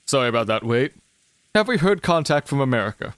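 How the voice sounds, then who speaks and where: A man playing a smooth, cocky middle-aged businessman with a breezy, confident way of talking. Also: mid-range voice, big pitch swings, a little fast, a bit bright, dry recording. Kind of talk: fan livedub of a visual novel.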